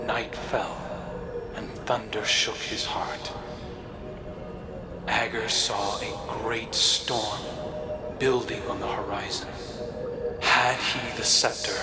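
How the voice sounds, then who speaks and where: A man playing a brooding, haunted figure in a game, speaking in a measured, hushed, dramatic storytelling manner. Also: fairly low pitch.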